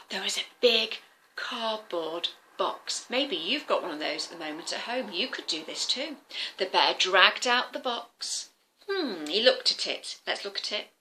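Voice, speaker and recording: A woman telling a story to young children in an animated, interactive way, often turning to the listeners with questions and simple explanations. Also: medium pitch, a little slow, a little hiss or hum, low-quality recording. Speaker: children's storyteller, former teacher.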